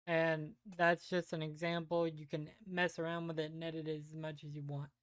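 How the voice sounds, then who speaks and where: A woman giving a casual, unscripted software tutorial, hesitantly thinking aloud as she goes. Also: deep voice, flat delivery, moderate pace, clean tone, dry recording, studio quality. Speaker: tutorial presenter.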